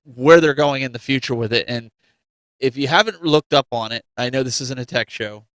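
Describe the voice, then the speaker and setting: A man's voice: medium pitch, normal speed, fairly bright tone, no echo, poor audio. A man hosting a gaming talk show, debating casually and emphatically, often repeating his phrases. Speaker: host of a gaming news show.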